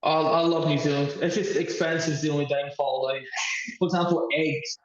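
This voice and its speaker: A man's voice: fairly high pitch, fairly even intonation, a little fast. Echoey young Scottish man, friendly and chatty. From Scotland. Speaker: podcast guest, traveller. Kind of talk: casual chat. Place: echoey indoor room at a hostel. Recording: very echoey, muffled lo-fi sound.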